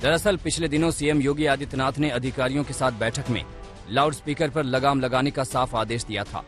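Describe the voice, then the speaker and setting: Male news reporter, formal scripted voice-over, soft music underneath. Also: mid-range voice, brisk. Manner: steady formal report delivery. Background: faint music bed.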